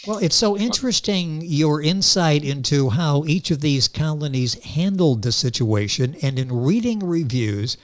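Higher-voiced male radio host. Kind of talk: radio interview.

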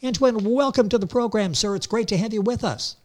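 A man's voice: high voice, a little fast. A male radio host introducing and questioning his guest with effusive praise. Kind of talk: radio interview.

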